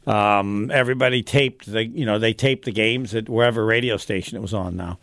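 A man's voice: lowish voice, fairly even intonation, brisk, creaky, fairly bright tone, no echo. A man co-hosting a sports radio show in casual chat, rambling and cutting himself off mid-thought. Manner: rambling, self-interrupting, chatty.

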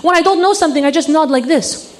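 A woman putting on a blunt, baffled immigrant father who speaks gruffly and indignantly. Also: high-pitched, fast, pitched above the performer's own voice, lightly acted. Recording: noisy live club recording.

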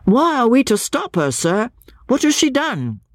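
A woman's voice: fairly high pitch, big pitch swings, unhurried, no echo, studio quality, pitched above the performer's own voice. A woman voicing a polite male policeman who answers and questions deferentially in an audiobook reading. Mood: puzzled.

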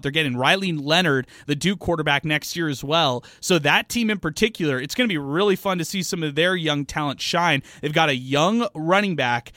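Bright high-voiced male sports co-host. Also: no echo, studio quality. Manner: enthusiastic, rambling with fillers. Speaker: sports talk co-host and reporter. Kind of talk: studio sports talk. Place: radio studio.

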